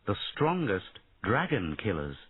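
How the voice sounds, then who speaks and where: A man voicing a gentle, protective queen who speaks softly to her son, heard over tinny phone-like audio. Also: on the low side, very animated, a little slow, husky, no echo, heavily acted. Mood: tender. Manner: soft and quiet.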